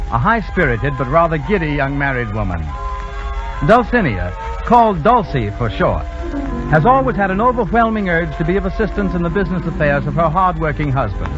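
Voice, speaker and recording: High, animated 1930s radio announcer, male. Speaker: radio announcer introducing the play. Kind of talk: 1930s radio scripted narration. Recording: dull 1930s radio recording with music. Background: music.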